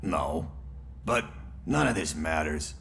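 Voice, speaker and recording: A man playing an evasive, defensive schemer in a video game, creaky-voiced and hedging as he talks. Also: very deep voice, expressive, takes it slowly, a bit bright, a little hiss or hum, exaggerated voice.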